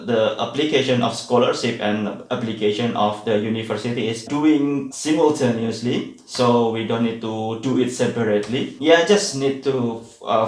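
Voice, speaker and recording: Man, distant roomy sound. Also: on the low side. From Indonesia. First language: Indonesian. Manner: hesitant, frequent "uh" fillers and restarts. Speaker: guest, PhD student and university lecturer. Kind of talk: casual interview answers.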